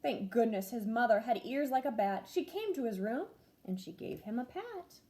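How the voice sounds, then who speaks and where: A young first grade teacher reads a rhyming picture book aloud in a bright, high voice. Her delivery is sing-song and animated, rising and falling with the verses. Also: moderate pace. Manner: expressive, sing-song storytelling.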